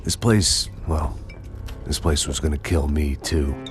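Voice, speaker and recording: An actor playing a washed-up middle-aged American ex-cop, narrating in a weary, self-deprecating, hard-boiled noir manner. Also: male voice, a bass voice, a little slow. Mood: weary, bitter. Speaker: protagonist and narrator. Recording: loud music behind the voice.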